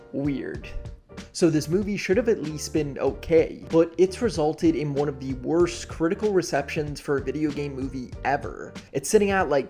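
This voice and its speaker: A man hosts a video essay with candid, casual commentary. His voice sits slightly high and has heavy vocal fry, and he talks haltingly and informally over faint music. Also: darkish tone.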